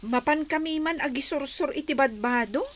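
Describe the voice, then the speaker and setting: An actress playing a hardworking, respectful young village daughter in a radio drama. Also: female voice, high-pitched, normal speed, lightly acted. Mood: respectful, eager.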